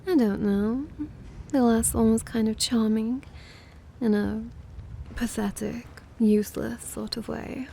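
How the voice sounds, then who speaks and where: A woman's voice: fairly high pitch, fairly even intonation, takes it slowly, creaky, dark tone, a little hiss or hum. A woman playing a playful, condescending vampire mistress who looks young, drawling languidly in an ASMR roleplay. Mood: amused, condescending.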